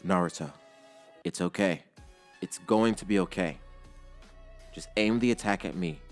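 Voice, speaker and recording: A man voicing a gentle, reassuring adult male father, soft and soothing. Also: sits low, measured pace, soft background music, pitched below the performer's own voice.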